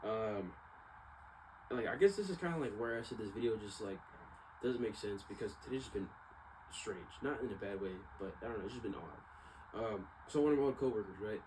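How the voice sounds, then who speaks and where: A man's voice: fairly low pitch, lively intonation, brisk, a little mellow. A young man giving a casual, rambling personal monologue in informal slang, often circling back and trailing off. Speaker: vlogger. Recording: clearly noisy background ambience.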